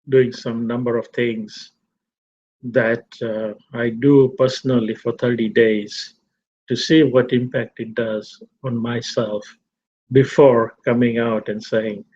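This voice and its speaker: A middle-aged man from Tanzania giving a reflective talk to a fellowship, measured and repetitive. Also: medium pitch, darkish tone, slight background noise. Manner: slow, measured, repeats phrases. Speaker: fellowship speaker. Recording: dull lo-fi recording.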